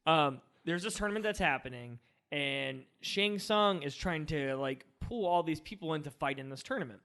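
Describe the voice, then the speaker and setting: A man's voice: a little high, moderate pace, fairly bright tone. A man co-hosting a film podcast, casually recapping and discussing a movie.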